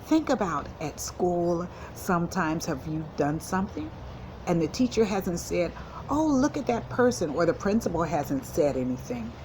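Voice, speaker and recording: Low-voiced woman counselor teaching young children. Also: background noise. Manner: simple, encouraging address to young children, with deliberate emphasis. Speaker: school counselor reading to children. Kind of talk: storybook read-aloud and lesson talk for children.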